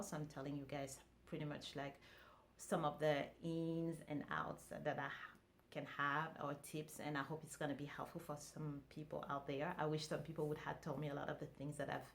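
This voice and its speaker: A woman talks straight to her viewers in an easy, chatty way. Her voice sits slightly low, and she stops and restarts her sentences often. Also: slight background noise. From France. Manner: relaxed, conversational, many fillers and restarts. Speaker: vlogger. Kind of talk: casual talk to camera.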